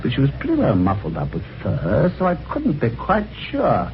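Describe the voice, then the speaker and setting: Jaunty British gentleman, wildly animated pitch. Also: moderate pace. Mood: wry storytelling. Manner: jaunty, wry, old-fashioned gentlemanly phrasing. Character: genial, chatty, helpful husband telling his adventure. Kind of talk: 1950s radio drama acting and first-person narration. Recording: dull, noisy old radio recording.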